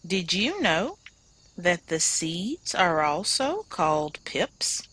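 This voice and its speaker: A woman's voice: lowish voice, sing-song, takes it slowly, a bit bright, husky, no room sound, heavily acted. A woman reading aloud a kindly male farmer in a children's storybook, explaining things patiently and encouragingly.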